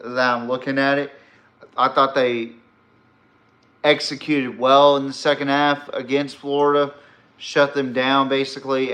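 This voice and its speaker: Male American sports podcast host, mid-pitched with a forceful bright edge; casual, opinionated commentary. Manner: opinionated, informal.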